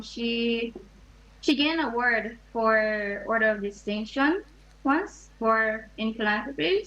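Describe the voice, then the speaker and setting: A teenage girl from Jamaica, interviewed over a video call, answering softly and hesitantly. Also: on the high side. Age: late teens. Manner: soft, hesitant, many ums. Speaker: student guest. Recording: dull lo-fi video call audio.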